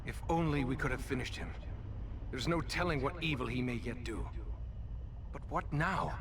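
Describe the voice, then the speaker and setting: A man's voice: medium pitch, normal speed, a crowd in the background, lightly acted. An actor playing an earnest, resolute male warrior in a fantasy video game, speaking with determination. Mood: determined. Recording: loud music under voice.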